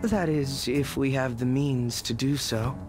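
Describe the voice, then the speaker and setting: A man's voice: medium pitch, a little slow, lightly acted. A young man voicing a coolly polite, shrewd detective in a video game, articulate and analytical.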